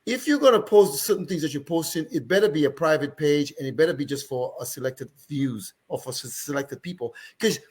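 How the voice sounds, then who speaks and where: A man from Dominica with a Dominican accent, a guest on a radio panel, speaking in a lively, emphatic way. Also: fairly high pitch, brisk, few pauses, slightly rough.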